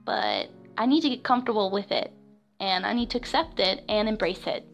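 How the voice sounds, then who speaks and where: A woman in her twenties, originally from Florida, hosting a casual personal podcast alone. She has a girlish voice and talks in a nervous, halting way, filling pauses with ums and small laughs. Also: sing-song, creaky, highs cut off, faint music behind. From West Florida, United States. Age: 20s. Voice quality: high, girlish voice. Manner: nervous and halting, with many fillers and soft laughs. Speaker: podcast host.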